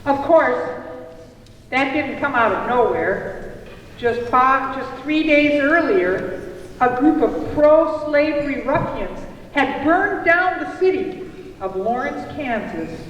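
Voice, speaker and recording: Heard from far off in a very reverberant hall, this is a high-voiced cafe proprietor from a historical puppet show, acted out by a performer. The delivery is slow, emphatic and theatrical, like a storyteller laying out grave news. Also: expressive, a bit bright, heavily acted. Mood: earnest storytelling. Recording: very echoey hall, distant mic, faint noise.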